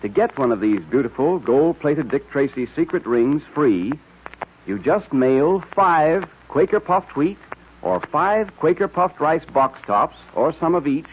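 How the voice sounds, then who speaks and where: An American man announcing on a 1940s radio show in an emphatic, enthusiastic sales style with a husky voice. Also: fairly high pitch, very animated, measured pace, slight background noise. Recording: tinny vintage radio recording.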